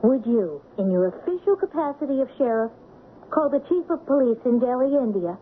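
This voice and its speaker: A woman's voice: on the high side, expressive, brisk, close to an everyday voice. An actress playing an earnest, determined teenage girl, a sheriff's daughter turned sleuth, in an old-time radio drama. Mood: pleading, insistent. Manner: earnest, insistent. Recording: tinny, noisy old radio broadcast.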